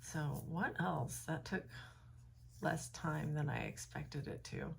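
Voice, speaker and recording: A woman's voice: low-pitched, fairly even intonation, takes it slowly, gravelly, slight background noise. A young woman talking alone to her phone camera in a room at home, thoughtful and hesitant, with many ums and likes and a slightly froggy voice. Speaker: vlogger. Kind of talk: unscripted reflective talk to camera.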